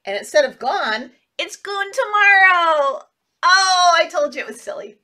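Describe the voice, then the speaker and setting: Animated, high-voiced woman hosting children's story time. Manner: expressive, exaggerated, drawn-out words addressed to children. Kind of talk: animated picture-book read-aloud for young children. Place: small indoor room.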